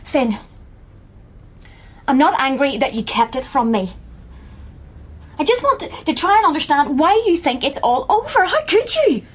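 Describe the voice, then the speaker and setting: A woman's voice: high-pitched, very animated, moderate pace, pauses often. A woman acting in a radio play as an anguished, protective young woman, pleading and defensive under strain. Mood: hurt, pleading. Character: anguished, protective young woman caring for her dying partner.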